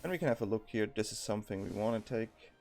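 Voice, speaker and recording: A man's voice: on the low side, expressive, fast, creaky, darkish tone, soft background music. A young man with a Swiss accent giving casual, thinking-aloud commentary as he plays a card game, with frequent ums and restarts. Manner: thinking aloud, many ums and self-corrections.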